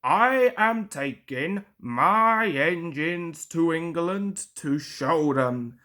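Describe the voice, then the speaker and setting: A woman's voice: low-pitched, lively intonation, extremely slow, a bit bright, full character voice. A woman voicing a stern, pompous male railway boss giving orders in a storybook. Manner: stern, pompous, bellowing at times. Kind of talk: storybook character voice.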